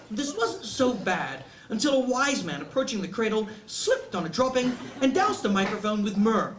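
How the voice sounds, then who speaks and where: A man, an author, reading his humorous short story aloud to an audience as an animated comic storyteller. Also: high-pitched. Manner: animated comic storyteller, acts out quoted lines. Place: indoor bookstore reading event. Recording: echoey bookshop room, distant mic, audience noise. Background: laughing audience.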